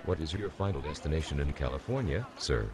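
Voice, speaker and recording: A man's voice: a bass voice, lively intonation, fast, crowd noise, noisy background. An actor playing a stern, formally polite male security guard in an audio drama, with an official, coolly courteous manner.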